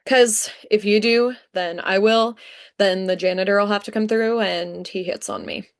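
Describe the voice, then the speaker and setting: A woman playing a dry, matter-of-fact senior office worker in a script table read, deadpan and instructing. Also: mid-range voice, moderate pace, vocal fry. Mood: dry, wry.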